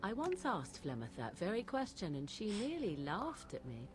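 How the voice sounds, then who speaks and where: A woman's voice: mid-range voice, huge pitch swings, moderate pace, music in the background. A woman playing an aloof, cynical young witch from the wilds in a fantasy game, speaking in formal, archaic phrases with haughty disdain. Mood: measured, musing. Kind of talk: fantasy video game acting.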